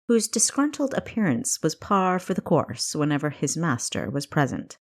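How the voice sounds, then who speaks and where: A woman reading scripted fiction as the narrator of an audiobook. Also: fairly low pitch. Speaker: author narrating own audiobook.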